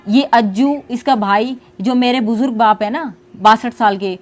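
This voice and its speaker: A woman's voice: fairly high pitch, a little slow. A woman giving accusatory, aggrieved personal testimony.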